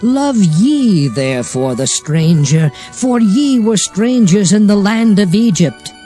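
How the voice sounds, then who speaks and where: An actor playing Moses as a solemn prophet exhorting his people in a declamatory, measured dramatized scripture reading. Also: high-pitched, expressive, dark tone. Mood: earnest, exhorting. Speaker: scripture narrator. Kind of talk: dramatized Bible reading in archaic English. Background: music under the voice.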